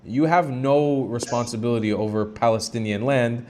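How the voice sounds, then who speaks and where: A man's voice: mid-range voice, normal speed, darkish tone, slight background noise. A man hosting a live call-in stream, arguing his opinions in a blunt, emphatic, sometimes sweary way. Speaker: live stream host taking calls.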